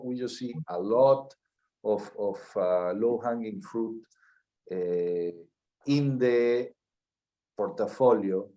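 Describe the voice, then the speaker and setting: A middle-aged man from Peru with a Peruvian Spanish accent, answering interview questions in a measured way, often restarting and repeating words. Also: mid-range voice, dark tone, poor audio. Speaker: mining company CEO.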